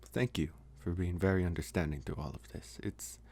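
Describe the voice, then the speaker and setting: A man playing a gentle, lonely young college vampire in an intimate ASMR roleplay, speaking softly and haltingly with long pauses. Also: deep voice, breathy edge. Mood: apologetic, grateful.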